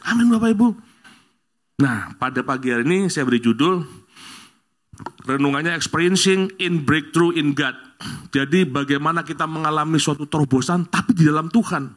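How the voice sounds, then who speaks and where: A middle-aged man from Bali leads a church prayer, then preaches a sermon to the congregation. His talk is lively, rising to loud exclamations and jokes with the congregation. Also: fairly high pitch, normal speed. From Bali, Indonesia. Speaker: guest preacher. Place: church service.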